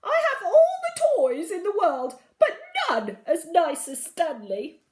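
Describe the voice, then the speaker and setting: Shrill, wailing silly queen. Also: huge pitch swings, slow, a little mellow, roomy sound, full character voice. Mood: tearful pleading. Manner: wailing, melodramatic. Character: silly, naughty, spoilt queen wailing over a rabbit. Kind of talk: children's storybook read-aloud.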